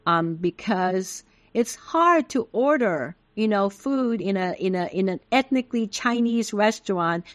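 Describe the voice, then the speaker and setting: A woman's voice: medium pitch, lively intonation, unhurried, dry recording. A middle-aged woman from Taiwan whose first language is Taiwanese Hokkien, patiently explaining things as an interview guest. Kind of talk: interview answers.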